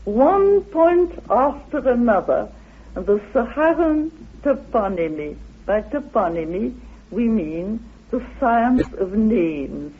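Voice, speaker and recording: A woman interviewed as a researcher, explaining her ideas like a lecture in a deliberate, dramatic manner. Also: a little high, sing-song, slight background noise. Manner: deliberate, dramatic, with rhetorical questions. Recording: narrow phone-like old radio recording.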